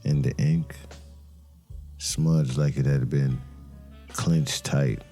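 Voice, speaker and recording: A man as brooding male detective, dark gravelly tone, somber crime-drama narration, dramatic pauses. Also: extremely low pitch, fairly even intonation, heavily acted. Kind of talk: scripted noir crime narration. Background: music.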